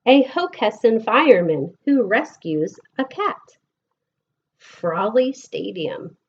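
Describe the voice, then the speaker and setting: Slow-paced American woman reading a storybook. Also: fairly high pitch, very animated, highs cut off, slight background noise. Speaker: elementary school teacher reading aloud. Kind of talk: picture-book read-aloud for children.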